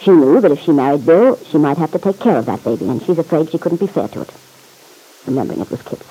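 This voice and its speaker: A man's voice: on the high side, expressive, fast, mellow, husky, poor audio. An actor playing a stern, insistent man in an old-time radio serial, blunt, slangy and accusing, pressing for the truth. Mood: urging.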